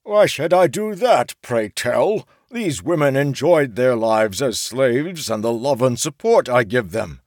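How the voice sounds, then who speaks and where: A man's voice: medium pitch, lively intonation, a little slow, few pauses, darkish tone, dry recording, clean studio sound, pitched above the performer's own voice, close to an everyday voice. A man voicing a pompous, easily outraged male merchant in an audiobook, with theatrical, grandiose speech. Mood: haughty. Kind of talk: audiobook character acting.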